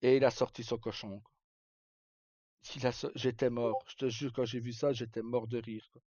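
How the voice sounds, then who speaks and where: A man's voice: mid-range voice, flat delivery, moderate pace, darkish tone, no echo, dull audio. A man chatting casually as a streamer, recounting stories in a rambling, hesitant, colloquial way. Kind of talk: casual live chat, recounting roleplay stories.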